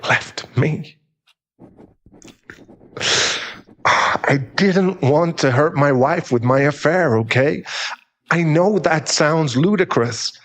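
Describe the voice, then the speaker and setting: A man playing a bitter, guilt-ridden divorced husband in an audio roleplay, halting and emotional, with some swearing. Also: medium pitch, natural voice. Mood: agitated, hurt.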